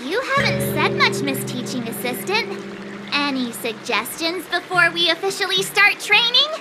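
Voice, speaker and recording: A woman's voice: very high voice, very animated, normal speed, over loud music. A woman voicing a bubbly, eager teenage girl sword apprentice in a video game, with a perky, earnest, theatrical delivery. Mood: chatty, curious.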